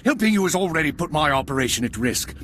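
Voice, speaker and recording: A contemptuous Imperial officer for a video game. His fairly high voice swings widely as he barks orders with haughty disdain. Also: very animated, fast talker, fairly bright tone, a little hiss or hum. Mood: threatening. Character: arrogant Imperial officer, man, commanding and contemptuous. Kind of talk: video game cutscene acting.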